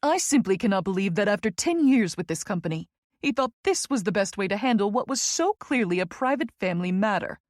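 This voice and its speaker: Woman acting out a smooth, imperious lady boss. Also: mid-range voice, lively intonation, brisk, no room sound, clean studio sound, lightly acted. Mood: indignant. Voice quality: smooth alto. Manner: emphatic, dramatic. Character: smooth, imperious, protective female senior boss, indignant and affectionate.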